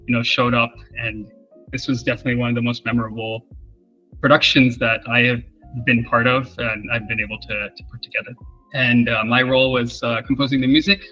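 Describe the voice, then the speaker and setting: A man hosting a relaxed conversation with dancers after a show, talking casually with many fillers. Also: mid-range voice, dull audio. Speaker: host, composer and festival organizer.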